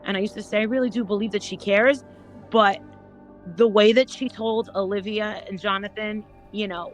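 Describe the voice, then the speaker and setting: A woman chatting casually as a panel guest, rambling and haltingly repeating her words as she goes. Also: medium pitch, poor audio. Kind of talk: casual gossip chat.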